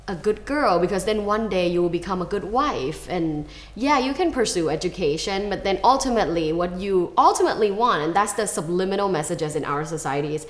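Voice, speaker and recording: A woman's voice: fairly low pitch, reverberant. A young woman from Thailand, a guest expert talking in a thoughtful, casual way, often asking rhetorical questions. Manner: thoughtful, many fillers, asks rhetorical questions. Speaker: guest, sex and relationship expert. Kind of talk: casual conversation.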